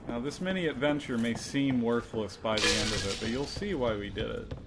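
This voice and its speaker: A man's voice: medium pitch, a little fast. Male gamer, mid-range voice, loose joking delivery with plenty of profanity, recorded under heavy background noise. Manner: profane, jokey. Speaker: video game streamer playing and commenting. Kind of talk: casual gameplay chat. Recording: very noisy, constant loud background hum.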